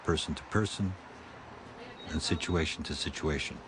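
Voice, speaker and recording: A man in his fifties with a stammer, reading calm, reflective narration for a documentary about his own speech. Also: very deep voice, normal speed, noisy background.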